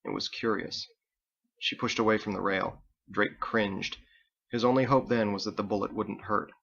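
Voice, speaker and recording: A man's voice: fairly low pitch, steady tone, normal speed, vocal fry, a bit bright, slightly rough, dull audio. A man reading his own book as scripted audiobook narration.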